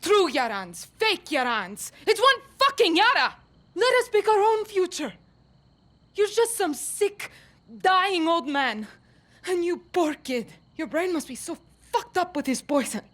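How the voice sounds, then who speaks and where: An actress playing a defiant young woman rebel leader who shouts and swears in anger. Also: very high voice, sing-song, a little slow, heavily acted. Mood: furious.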